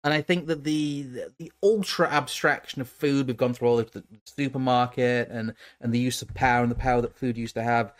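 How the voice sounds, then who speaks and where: A man's voice: medium pitch, fairly even intonation, fairly quick, hardly any pauses. A man hosting a livestream, musing about food and often stumbling and repeating words. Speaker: streamer host. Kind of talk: casual livestream talk.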